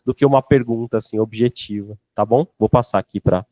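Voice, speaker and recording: A man's voice: fairly low pitch, a little fast, mellow, no room sound. A man moderating an academic panel, talking discursively with many fillers before passing the floor.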